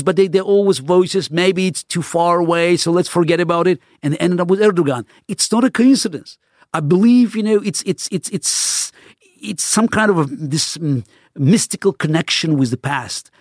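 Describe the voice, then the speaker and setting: A man's voice: high voice, no echo, clean studio sound. A middle-aged man, answering interview questions earnestly, often restarting and repeating words as he searches for phrasing. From Soviet Union (Baku). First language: Russian. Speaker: interview guest.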